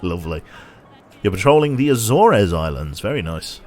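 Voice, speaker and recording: A man streaming a game with casual commentary, a little tired, his voice slightly nasal and a bit raspy, chatty as he thinks aloud and thanks viewers. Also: on the low side, sing-song, normal speed, darkish tone.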